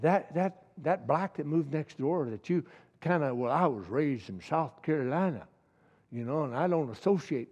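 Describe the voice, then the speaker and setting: Man, preacher, impassioned sermon, repeats for emphasis, slightly high voice, long hall echo. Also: darkish tone, husky, dull audio. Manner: impassioned, rising to emphatic peaks, repeats key lines.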